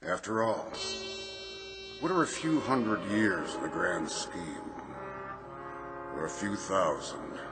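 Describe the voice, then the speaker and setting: A man's voice: sits low, expressive, slow pace, gravelly, pauses often, exaggerated voice. An actor playing a suave, mocking devil in a radio drama, taunting as he offers a bargain. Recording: music under the voice.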